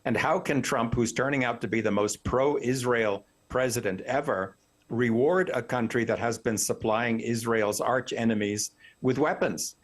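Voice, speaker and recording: Man, academic news guest. Medium-pitched voice, articulate, opinionated, analytical commentary. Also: a little slow. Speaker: professor, expert news guest.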